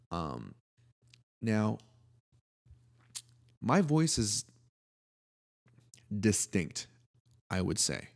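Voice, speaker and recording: A man's voice: creaky, a little mellow. A man, co-hosting a podcast in a laid-back, joking, slangy way. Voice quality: deep voice. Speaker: podcast co-host. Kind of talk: casual chat.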